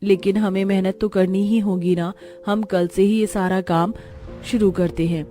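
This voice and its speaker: A woman's voice: mid-range voice, steady tone, moderate pace, mellow, soft background music, natural voice. A woman voicing a hardworking elder daughter with a matter-of-fact manner in a cartoon story. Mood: confident. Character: practical, hardworking elder village daughter, young and bossy.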